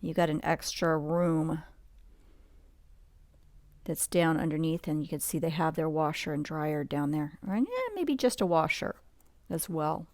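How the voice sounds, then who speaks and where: A woman's voice: deep voice, darkish tone, no room sound. A woman reading a picture book aloud as a bedtime read-along, adding conversational asides and questions to the listener. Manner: unhurried, conversational, points out details and asks the listener questions.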